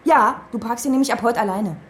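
A woman's voice: on the high side, a little fast, breathy edge. An actress playing a bitter, sarcastic young woman in a radio drama, speaking in a biting, naturalistic way. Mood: hostile, accusing. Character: bitter, sarcastic young woman working in tech, venting angrily.

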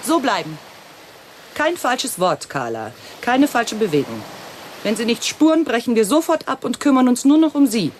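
A woman's voice: a little high, big pitch swings, moderate pace, darkish tone, a little hiss or hum. An actress playing a cold, greedy female villain in a radio play, giving orders with sardonic, mock-polite menace. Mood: cold, commanding.

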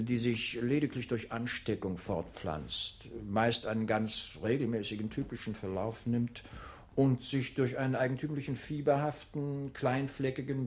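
A man playing a halting lecturer who recites dry medical facts with many ums in a radio play. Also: mid-range voice, natural voice. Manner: hesitant, with many ums between clinical phrases.

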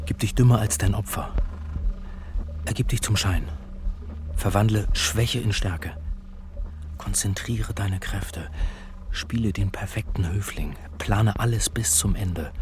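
An actor playing a dry, wary, calculating male business aide in a radio drama, terse and deliberate. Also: on the low side, a little mellow, slight background noise, close to an everyday voice. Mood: cold, scheming.

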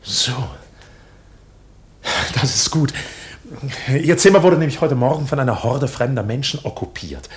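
A man's voice: medium pitch, lively intonation, measured pace, pauses often, natural voice. An actor in a German radio play as an anxious, intense man under arrest, speaking formally and hesitantly with bursts of agitation. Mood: uneasy, formal.

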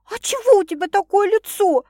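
A female narrator acts a feisty young woman from a romance audiobook. The voice is raised high and plaintive, quick to exclaim. The recording is dry. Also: no echo. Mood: indignant. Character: feisty, emotional young pregnant woman in love.

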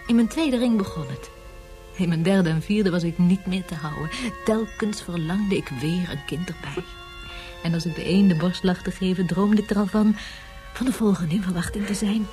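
Actress playing a dreamy, mellow-voiced mother. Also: on the low side, normal speed, faint music behind, natural voice. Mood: wistful, elated. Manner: tender, musing, drifting into hushed whispers. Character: dreamy much-rejuvenated mother, besotted with babies and childbearing. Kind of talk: radio drama monologue.

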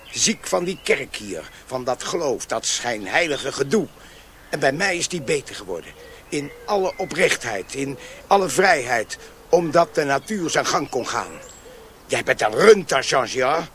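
An actor playing a fiery, defiant freethinking countryman in a radio play, forceful and accusing. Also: medium pitch, sing-song, a little slow. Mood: angry, defiant. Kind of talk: Dutch radio play acting.

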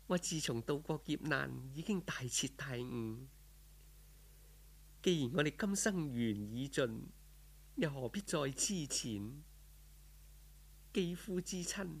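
A man voicing a gentle, solemn female spirit in a Cantonese folk tale, speaking formally and with calm authority. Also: mid-range voice, gravelly, breathy edge, exaggerated voice. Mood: firm, detached. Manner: solemn, formal, calmly instructive. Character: serene, gentle female spirit turned heavenly disciple. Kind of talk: audiobook folk tale character.

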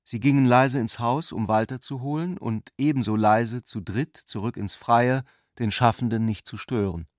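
A man narrates a literary radio play from a script. His voice is of average pitch for a man. The sound is dry and free of any room echo. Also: normal speed, hardly any pauses.

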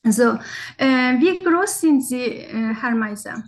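A woman's voice: a little high, expressive, slow pace. A non-native German-speaking woman with a foreign accent, hesitantly and politely conducting a formal medical interview as a doctor, often searching for words. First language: not German. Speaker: doctor taking a patient history in exam practice. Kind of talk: halting structured medical interview in role-play.

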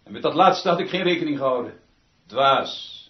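An actor playing an eccentric, world-weary, self-important conductor in a radio play, rambling theatrically and repeating himself. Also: male voice, medium pitch, expressive, normal speed, lightly acted. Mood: agitated. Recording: dull, noisy radio drama recording with clicks.

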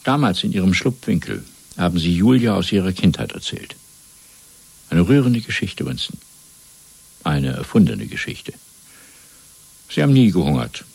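A totalitarian interrogator in a seventies radio play. The voice is deep and husky, and every phrase is deliberate and clinical, full of controlled menace. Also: male voice, very animated, a little mellow, no room sound, full character voice. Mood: accusing, cold. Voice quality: husky, rough low voice. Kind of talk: 1970s German radio play acting.

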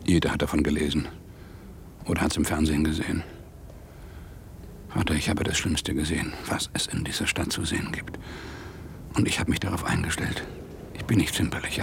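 An actor playing a hard-boiled, shaken New York police detective in a radio crime drama, weary and angry, in terse, measured phrases. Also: male voice, slight background noise. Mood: confessing, tense. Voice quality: deep, gravelly. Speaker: actor, narrator and lead. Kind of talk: radio crime drama, intimate first-person monologue and dialogue.

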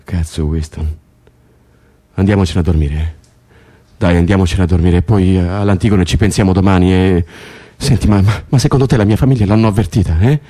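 A man acting in Italian radio theatre as an anxious, pleading political prisoner, speaking in halting, repetitive bursts. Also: low-pitched, steady tone, mellow. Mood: weary. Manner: halting, repeating himself in nervous bursts. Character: male political prisoner, anxious, pleading, counting days to release. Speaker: stage actor in a radio play.

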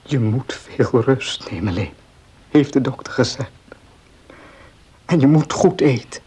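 An actor playing a devoted, grieving husband in a period radio drama, speaking slowly and earnestly in a hushed, breathy voice. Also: male voice, mid-range voice, lively intonation, exaggerated voice. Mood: earnest, pleading. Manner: slow, hushed, earnest, emotional.

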